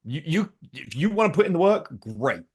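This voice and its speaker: A man's voice: fairly high pitch, sing-song, normal speed, slightly rough. A middle-aged man telling family stories in casual conversation, animatedly acting out his own lines and his children's. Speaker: podcast guest.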